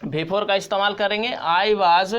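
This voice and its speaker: A man's voice: high-pitched, steady tone, normal speed, fairly bright tone, clean tone. A man with an Indian accent teaching English grammar step by step, repeating key points in an instructional way. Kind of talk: step-by-step tutorial explanation.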